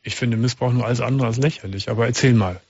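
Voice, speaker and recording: A man hosting a late-night radio call-in show, giving callers calm, direct advice with frequent restarts. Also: mid-range voice, quick pace, husky, highs cut off. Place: radio studio.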